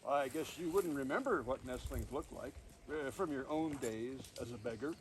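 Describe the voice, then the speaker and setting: A man's voice: medium pitch, moderate pace, natural voice. A man voicing a proud, friendly father raven in an outdoor storybook reading. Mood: kindly. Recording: distant mic, noisy outdoor recording.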